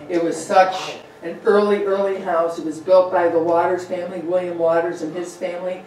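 A woman's voice: fairly low pitch, level tone, moderate pace, darkish tone. Woman lecturing in a very echoey room. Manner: chatty, loose, with fillers. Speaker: local history presenter. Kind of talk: slide-show history talk. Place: meeting room with projector. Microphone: far room mic. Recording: very echoey room, distant mic.

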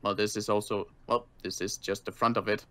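A man playing a tired, injured survivor in a zombie game roleplay, speaking in a halting, dry, curt way. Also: fairly low pitch, level tone, a bit bright, close to an everyday voice. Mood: dry, explaining. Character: weary, grudging injured male survivor of a zombie apocalypse. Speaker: roleplay player.

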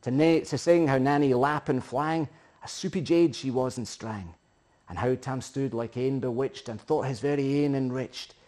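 A middle-aged Scottish man recites narrative verse in Scots like a practised storyteller. His voice sits at a medium pitch. The delivery is theatrical and emphatic, moving between hushed phrases and bigger, rousing ones. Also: normal speed. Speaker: poetry reciter. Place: living room, indoors.

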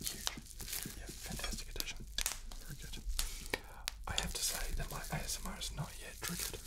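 A man's voice: brisk, poor audio. A young man hosting a whispered ASMR session, murmuring close to a studio microphone. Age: young adult. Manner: murmured, hushed, close to the mic. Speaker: host. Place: small indoor studio set. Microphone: close studio condenser microphone.

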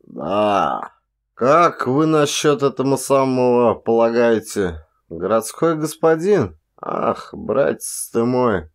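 Guy doing drunk ex-soldier in an audiobook, hoarse n slurry, cocky and scornful. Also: medium pitch, sing-song, slow. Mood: drunken scorn.

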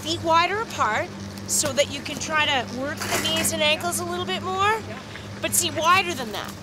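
A middle-aged woman with a high voice coaches skiers out on a snowy slope, her instructions direct and full of energy. Steady outdoor noise sits clearly under her voice. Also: normal speed. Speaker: ski instructor.

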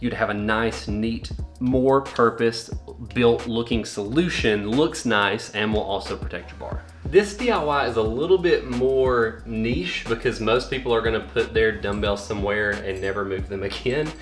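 A man's voice: fairly low pitch, normal speed. Young man explaining gym gear in echoey garage. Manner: practical, matter-of-fact demonstrating. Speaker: home gym channel host. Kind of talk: casual how-to explanation. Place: indoor garage home gym. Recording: echoey room with faint music.

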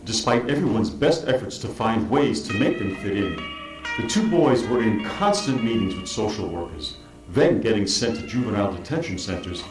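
A man reading scripted story narration for a stage play. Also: fairly low pitch. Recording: echoey, music behind. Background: guitar music.

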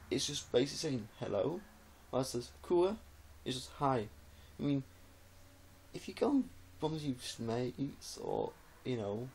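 A young man chatting casually and meanderingly to camera, halting often, swearing now and then and tagging phrases with "eh". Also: medium pitch, darkish tone. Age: young adult. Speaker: vlogger. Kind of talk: casual rambling chat to camera. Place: small indoor room, reclining against a wall. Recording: noisy webcam-style home recording.

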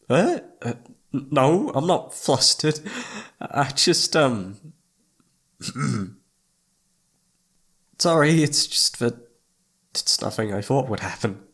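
A man's voice: fairly low pitch, vocal fry, fairly bright tone, heavily acted. A man playing a shy, flustered young incubus roommate in an ASMR roleplay, hesitant and awkward, often trailing off. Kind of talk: ASMR roleplay audio drama.

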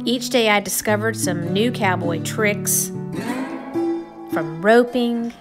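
A woman reading a children's storybook aloud with expressive, deliberate, theatrical phrasing. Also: medium pitch, over loud music. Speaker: storybook reader.

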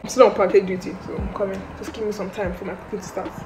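A woman's voice: medium pitch, flat delivery, very fast, background noise. A young woman chatting casually to camera in a rambling, informal vlog style, recorded indoors at a short distance from the mic. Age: young adult. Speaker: vlogger, university student. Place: indoor student flat kitchen and rooms. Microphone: camera at arm's length or propped nearby. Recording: echoey room, background music.

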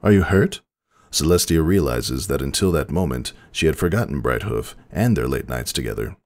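A man's voice: extremely low pitch, expressive, brisk, darkish tone, no echo, clean studio sound. A man narrating fiction as a dramatic scripted reading.

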